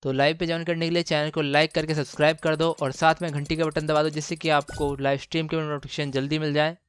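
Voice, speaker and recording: A man giving casual, excited gameplay commentary, slangy and exclamatory, repeating phrases for emphasis. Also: on the high side, fast talker, clear, resonant tone, faint music behind. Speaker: gaming channel host.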